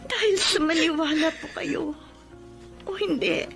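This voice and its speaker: A gentle, tearful young daughter-in-law. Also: female voice, very high-pitched, measured pace, faint music behind, exaggerated voice. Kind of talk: radio drama acting.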